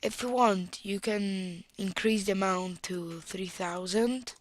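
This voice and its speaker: Slow Italian woman with a cold. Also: medium pitch. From Italy. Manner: unhurried, thinking aloud while clicking. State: has a cold. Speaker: software tutorial presenter. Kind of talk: screen-recorded software tutorial.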